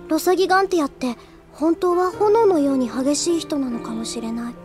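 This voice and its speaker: A woman playing an earnest, shy, soft-hearted teenage schoolgirl in an anime audio drama, sincere, hesitant and reflective. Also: sits high, a little fast, music in the background, natural voice. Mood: tender, yearning. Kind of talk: Japanese anime audio drama acting with inner monologue.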